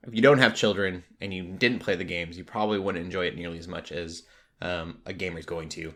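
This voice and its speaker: A man's voice: sits low, steady tone, fairly quick, a bit bright. A young adult man hosting a casual conversation about movies. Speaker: podcast host.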